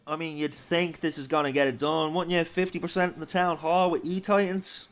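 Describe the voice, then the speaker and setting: A man's voice: a little high, steady tone, fairly quick, faint music behind. An Irish man hosting a livestream, giving chatty live game commentary and talking directly to his viewers. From Ireland. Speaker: livestream host and esports caster.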